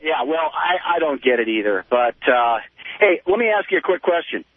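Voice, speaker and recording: A man's voice: fairly high pitch, animated, normal speed, no room sound. An American man calling a radio show, chatting casually in a chummy, talkative way over a phone line. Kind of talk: casual chat.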